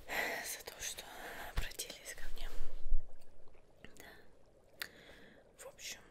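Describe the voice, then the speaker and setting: A young woman plays a friendly witch seamstress in a whispered ASMR roleplay. She murmurs softly and soothingly into a close microphone, unhurried, often repeating small words as she works. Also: lightly acted. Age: young adult. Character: friendly, busy witch seamstress fitting a Halloween costume. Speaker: ASMR performer.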